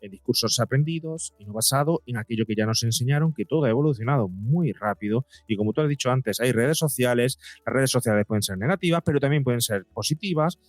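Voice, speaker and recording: A man's voice: mid-range voice, fast talker, hardly any pauses, dry recording, faint music behind. A man with an accent from Spain, speaking as a psychologist guest in a podcast interview, explaining things in an emphatic, didactic way with repetition for effect.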